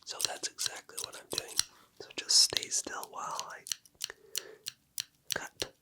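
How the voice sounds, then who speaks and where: Close, crisp whisper of an ASMR barber. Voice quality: breathy whisper. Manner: soft, teasing, unhurried close-up murmuring. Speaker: ASMR creator playing a barber in a haircut roleplay. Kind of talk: whispered ASMR personal-attention roleplay. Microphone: close-up ASMR microphone.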